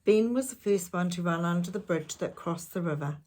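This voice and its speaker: A woman reading a children's storybook aloud, with measured, expressive narration for young listeners. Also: lowish voice.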